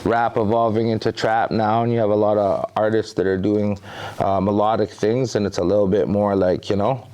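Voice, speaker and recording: Low-ish voiced rapper guy from the bahamas, super laid back, lots of "you know". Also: level tone, moderate pace, dark tone, clean tone. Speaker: rapper guest. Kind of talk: casual interview talk.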